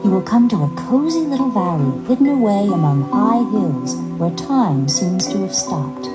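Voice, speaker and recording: A woman reading a scripted story as narrator, with measured, expressive dramatic delivery. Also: medium pitch, over loud music.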